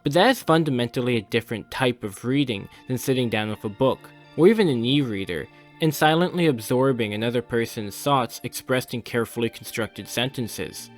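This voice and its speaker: Guy doing video essay voiceover, formal n measured, music under it. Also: mid-range voice. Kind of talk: scripted narration.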